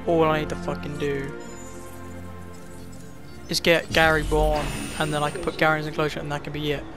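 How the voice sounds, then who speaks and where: A man's voice: fairly high pitch, monotone, music in the background. A young man from Wales with a Welsh accent, casually commenting on his gameplay, frustrated and swearing often. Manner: frustrated, sweary, quick outbursts. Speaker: gaming YouTuber.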